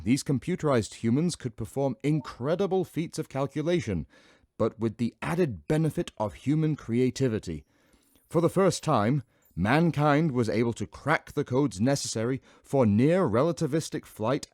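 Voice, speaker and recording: A formal professor delivering a history lecture, voiced by a man. He speaks in a measured, mid-pitched voice. The sound is dry and close. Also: darkish tone, no echo, close to an everyday voice. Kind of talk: scripted narration.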